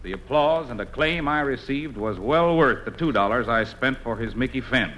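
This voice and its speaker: A man's voice: mid-range voice, expressive, moderate pace. A man reading scripted comedy on a 1950s radio variety broadcast. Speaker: singer. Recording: noisy vintage radio broadcast.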